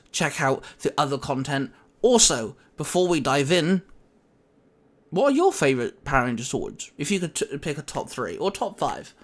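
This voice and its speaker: Upbeat chatty man, slightly high voice. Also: fairly bright tone. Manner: upbeat, chatty, quick asides. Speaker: host. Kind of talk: casual podcast chat. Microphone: video call.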